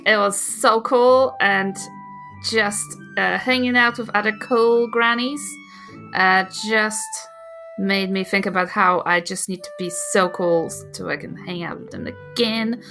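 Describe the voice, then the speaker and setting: Excitable woman with a bright voice. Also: medium pitch, measured pace, gravelly, faint music behind. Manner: excitable, gushing. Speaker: tabletop game player. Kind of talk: casual, improvised game chat.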